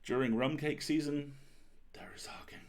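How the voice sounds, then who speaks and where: A man reading as a young girl baker and wizard, chatty and self-deprecating, in an expressive confiding storybook manner. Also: fairly high pitch, normal speed, voice pushed up, close to an everyday voice. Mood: wry, chatty.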